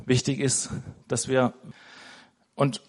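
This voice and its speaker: A man's voice: medium pitch, completely flat delivery, slow pace. A man preaching an informal sermon in a church, talking conversationally, searching for words and ending most sentences with a questioning tag. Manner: conversational, tags nearly every sentence with "ne?". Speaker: lay preacher.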